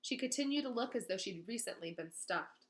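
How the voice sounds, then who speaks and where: A woman reading a children's novel aloud as an expressive storyteller. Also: medium pitch, brisk.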